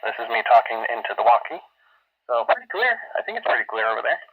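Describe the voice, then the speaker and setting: Male reviewer heard over a toy walkie-talkie, thin radio sound, brisk casual delivery. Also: mid-range voice, sing-song, creaky. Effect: heard through a walkie-talkie. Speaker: product reviewer. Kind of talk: casual demonstration talk. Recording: tinny, band-limited toy walkie-talkie speaker.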